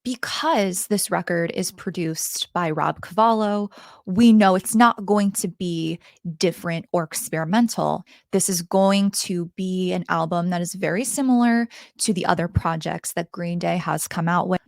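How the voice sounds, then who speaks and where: A woman with a hoarse, raspy voice chatting straight to her viewers in a casual album review vlog, speaking into a handheld microphone. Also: lowish voice, moderate pace, no room sound, clean studio sound. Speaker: music reviewer.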